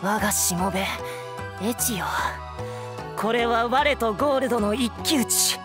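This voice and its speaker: A woman's voice: a little high, measured pace, lightly acted. Woman as a boyish, grandiose demon king over music. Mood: menacing, haughty. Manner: grandiose, archaic, menacing villain speech. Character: imperious demon king, as played by little boy. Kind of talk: Japanese voice-anime comedy acting. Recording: music bed behind the voice.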